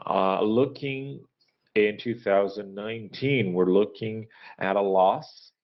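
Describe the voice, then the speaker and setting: A man giving a corporate earnings presentation in a halting, measured business manner, pausing often to hesitate. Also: on the low side. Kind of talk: corporate earnings call presentation and question answering, unscripted. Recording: dull, lo-fi conference call audio.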